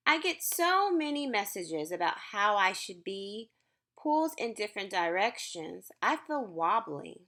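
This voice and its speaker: A woman's voice: mid-range voice, big pitch swings, measured pace, sharp tone. A woman reads a picture book aloud to young children and talks to them in an encouraging, reassuring way as a school counselor.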